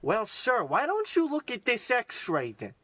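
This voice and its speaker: Guy doing a fumbly weird doctor, high voice all over the place, old radio comedy style, stammers a lot. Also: huge pitch swings, unhurried, bright, forceful tone, no echo, full character voice. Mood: flustered. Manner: hesitant, stammering, then grandly pronouncing. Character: fussy, fumbling, oddball male doctor. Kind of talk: parody of old radio drama acting.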